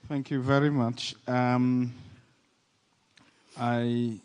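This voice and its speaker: A man from Senegal with a strong French accent, speaking deliberately as an NGO director on a panel. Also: medium pitch, flat delivery, darkish tone, clean tone. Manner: deliberate, with fillers and pauses. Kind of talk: conference panel talk. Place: large conference hall. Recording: reverberant conference hall.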